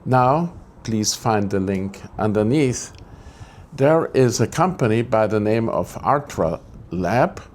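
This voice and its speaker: A man's voice: fairly low pitch, expressive, slow, darkish tone, a little hiss or hum. A man from Greece, hosting a casual vlog update indoors, grumbling and rambling and correcting himself as he goes. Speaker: photography channel host. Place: indoors, air conditioning switched off.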